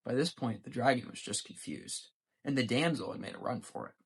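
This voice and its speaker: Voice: mid-range voice, animated, fairly quick, noisy background. American teenager reading a story aloud. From United States. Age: teens. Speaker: young writer reading own story. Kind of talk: scripted dramatic story reading.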